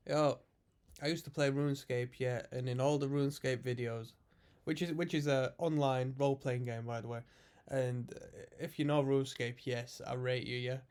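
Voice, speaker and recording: A man's voice: medium pitch, steady tone, moderate pace, darkish tone, clean tone. A man with a stuffy cold, chatting casually as he reacts to a metal song and explains what the guitars and synths are doing. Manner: chatty, musing, explains music terms. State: congested from a cold. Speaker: music reaction host.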